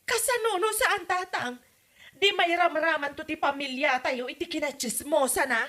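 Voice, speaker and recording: A woman's voice: high voice, normal speed, pushed and bright. A woman with an Ilocano accent playing a stubborn, meddling, disapproving mother in a comedy drama, scolding and exclaiming as she talks. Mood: stubborn, complaining. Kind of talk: comedy radio-style drama acting.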